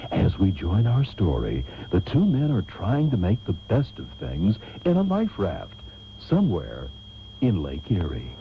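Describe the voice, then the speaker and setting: A man's voice: low-pitched, wildly swooping pitch, a little slow, creaky, a little hiss or hum, full character voice. A man playing a grandly earnest host, narrating a comedic radio serial in a mock-solemn, theatrical style. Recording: tinny, narrow old radio tape.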